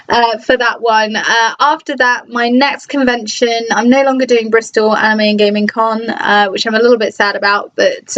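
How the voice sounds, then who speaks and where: A woman's voice: on the high side, fairly bright tone. A young woman, chatting casually in a home vlog, hesitant and full of restarts. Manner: hesitant, lots of restarts and ums. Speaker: cosplayer and vlogger. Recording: slightly dull lo-fi sound.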